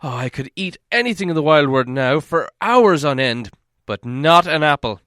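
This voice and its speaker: A man's voice: a little high, lively intonation, normal speed, fairly bright tone, dry recording, pitched above the performer's own voice. A young storybook dwarf acted by a man narrating complains in a raised, plaintive voice. He sounds thoroughly fed up and leans hard on his words, recorded dry.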